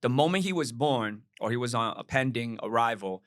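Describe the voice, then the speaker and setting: Guy in his 40s, first language cantonese, talks it through slow and reflective, dry close mic. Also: mid-range voice, lively intonation, fairly bright tone, dry recording. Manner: reflective, measured storytelling with frequent "right?". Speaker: podcast guest. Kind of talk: casual podcast conversation.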